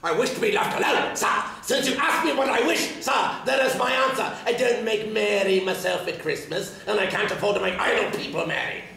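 Guy acting a mean old miser, high creaky voice, curt and scornful, echoey stage. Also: fairly quick, few pauses, sharp tone, heavily acted. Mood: scornful, irritated. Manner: curt, biting, contemptuous. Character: cold, miserly, irritable old man. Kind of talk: stage period drama. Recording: echoey stage, far off, faint noise.

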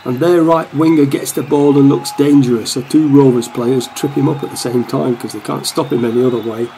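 A man's voice: medium pitch, steady tone, fairly quick, creaky, mellow, a little hiss or hum, natural voice, rough recording. A man playing a wry, devoted football supporter who narrates a match in a radio play, in commentary style. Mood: dry commentary.

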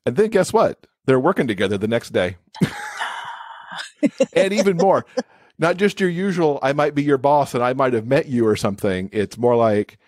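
A man's voice: mid-range voice, expressive, moderate pace, darkish tone, no room sound, studio quality. A man talking casually and enthusiastically as a guest on a podcast. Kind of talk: casual chat.

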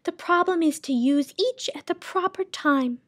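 Woman doing a keeper of sounds, sad and kind, high lifted voice, storybook, lively swoops. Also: normal speed, no room sound, clean studio sound. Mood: tearful. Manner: high, tearful, then gentle and motherly. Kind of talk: storybook read-aloud.

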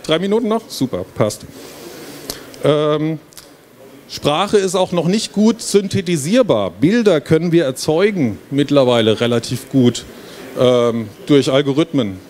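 A man's voice: on the high side, lively intonation, unhurried, darkish tone. Man presenting over noisy hall ambience. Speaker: game developer presenting. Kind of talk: presentation to an audience. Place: stage at a book fair hall. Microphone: handheld microphone. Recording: clear background noise, fair ambience. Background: trade fair hall ambience.

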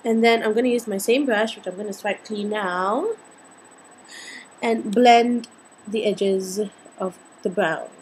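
A woman in her twenties with a Singaporean accent and a slightly husky voice, chatting playfully through a casual makeup tutorial. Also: medium pitch, steady tone, measured pace, pauses often, darkish tone, a little hiss or hum, low-quality recording. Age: 20s. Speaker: beauty vlogger. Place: indoors, small home room.

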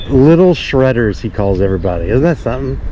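A man's voice: medium pitch, very animated, fast, mellow. A middle-aged man chatting casually and ramblingly to viewers while out riding, with jokey asides. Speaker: vlogger. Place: outdoors on a suburban street. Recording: dull action-camera audio, outdoor street noise.

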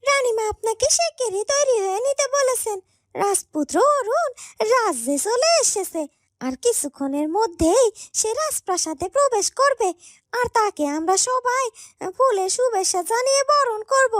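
A woman voicing a shrill, whiny young female character in a cartoon, given to exclaiming. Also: animated, normal speed, no room sound, studio quality. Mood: urgent, announcing. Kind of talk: cartoon dubbing.

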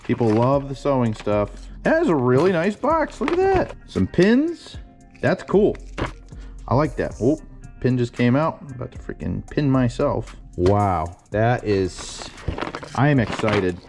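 A young man, a storage unit vlogger, chatting excitedly as he digs through boxes in an indoor storage unit on a head-mounted camera mic. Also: medium pitch, unhurried, faint music behind. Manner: excitable, keeps exclaiming wow and singing snatches. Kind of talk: excited casual narration while unboxing.

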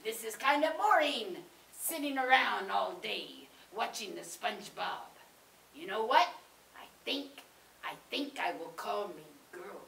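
A woman voicing a goofy, lovesick male pirate with a gravelly growl and blustery comic pirate talk. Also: medium pitch, lively intonation, a little slow, pushed and bright, full character voice. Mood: bored, grumbly. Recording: echoey room, distant mic.

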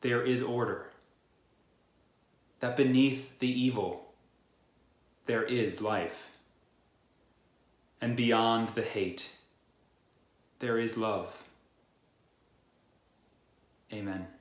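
A man in his thirties reads a scripted poetic prayer in a measured, reverent way, phrase by phrase, recorded indoors at home. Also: mid-range voice. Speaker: worship prayer leader. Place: small room at home. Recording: roomy indoor echo.

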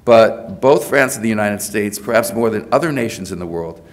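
A man in his sixties with a cold, giving an academic lecture at a podium microphone in a large hotel ballroom, often pausing on fillers and restarting his phrases. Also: on the low side, lively intonation. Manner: hesitant, many fillers and restarts. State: has a cold. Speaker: lecturer giving a presidential address.

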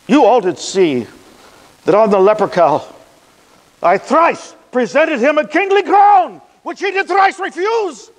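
A man performing a classical stage monologue as a grieving, impassioned, bitterly ironic Roman funeral orator, declaiming deliberately with weighted pauses. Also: very high voice, big pitch swings, full character voice. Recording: long hall reverb.

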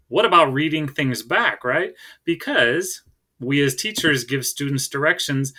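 A middle-aged American man with a crisp, bright voice at an average pitch. He talks like a teacher leading a relaxed screencast tutorial, conversational and often checking in with his listeners. He is recorded on a laptop microphone at home. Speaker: teacher presenting a tech tutorial.

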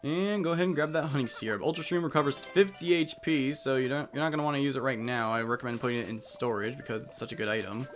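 A man's voice: mid-range voice, fast, hardly any pauses, soft background music. A man giving casual let's play commentary on a video game, with jokey, playful asides.

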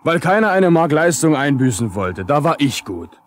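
A man's voice: a little high, very animated, moderate pace. An actor playing a cocky young lineman, sullen and mocking in colloquial speech, in a 1970s radio drama. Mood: defiant. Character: cocky, defiant young male telephone lineman. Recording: faintly noisy 1970s vinyl radio play.

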